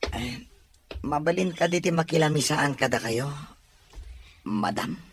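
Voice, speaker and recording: An actor with a Filipino accent playing a loyal, courteous young best friend in an audio drama, his voice slightly husky. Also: on the high side, measured pace, slight background noise, natural voice. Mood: polite.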